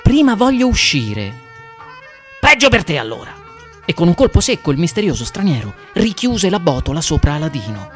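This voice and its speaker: A man reading a fairy tale aloud in expressive storytelling narration. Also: fairly high pitch. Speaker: guest reader of fairy tales.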